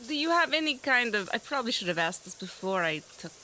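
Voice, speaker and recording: A woman's voice: fairly high pitch, very animated, fast, sharp tone, a little hiss or hum, rough recording. A woman improvising as a player on a tabletop game podcast, hesitant and trailing off as she narrates and speaks in character.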